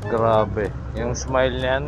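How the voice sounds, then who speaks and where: A man, a motorcycle taxi rider chatting casually and teasing over a helmet intercom, quick to laugh. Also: medium pitch, fairly even intonation. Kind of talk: casual joking intercom chat. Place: outdoors on a motorcycle in street traffic. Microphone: helmet intercom microphone. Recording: dull helmet intercom audio with music.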